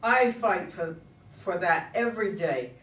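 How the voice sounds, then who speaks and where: A woman's voice: lowish voice, animated, a little slow. Recorded from a distance in an echoey room, an actress plays an older woman in politics, proud and combative. She speaks in an indignant, emphatic stage-play manner. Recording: echoey room, far from mic.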